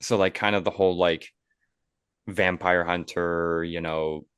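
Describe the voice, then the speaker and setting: A man's voice: low-pitched, fairly even intonation, measured pace, fairly bright tone, smooth, no room sound, studio quality. A young man hosting a casual podcast chat, talking in a rambling way and repeating his phrases. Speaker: podcast host.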